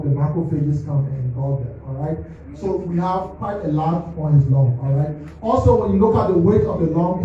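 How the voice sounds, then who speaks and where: A man's voice: a little high, dark tone, a little hiss or hum. A man, a forensic pathologist at a press briefing, walking the press through slides like a teacher and checking "all right?" after each point. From Liberia. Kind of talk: explaining autopsy slides to the press. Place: government press briefing hall. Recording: distant mic, echoey muffled hall.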